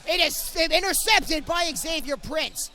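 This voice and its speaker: A man's voice: very high-pitched, expressive, unhurried, bright, forceful tone, soft background music. A young man calling live college basketball play-by-play in short, clipped present-tense phrases. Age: young adult. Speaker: play-by-play sportscaster.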